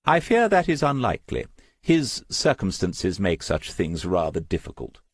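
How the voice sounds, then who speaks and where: A man's voice: sits low, huge pitch swings, normal speed, breathy edge, dry recording, clean studio sound, voice pushed up, exaggerated voice. A man voicing a pompous, genteel female gallery owner in an audiobook, proud and affected in manner. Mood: regretful, confiding. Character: genteel, self-important middle-aged female gallery owner, gushing sales talk. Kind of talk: audiobook character voice.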